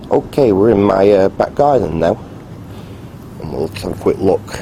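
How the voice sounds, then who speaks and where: A man giving a casual, unscripted, matter-of-fact video tour in a halting, rambling way. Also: deep voice, animated, gravelly, dark tone, a little hiss or hum. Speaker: vlogger, hobbyist restorer.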